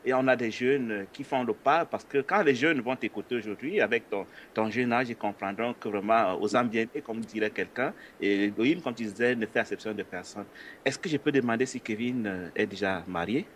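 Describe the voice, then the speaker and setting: A man's voice: mid-range voice, a little fast, a little hiss or hum. A man hosting an interview, asking questions in a polite, hesitant way with frequent filler sounds. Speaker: interview host. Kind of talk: conversational religious interview.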